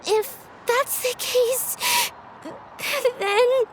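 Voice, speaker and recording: A woman voicing a tiny, childlike, excitable and caring companion with a squeaky voice in a video game. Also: drawn-out words, slight background noise, full character voice. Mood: worried, pleading.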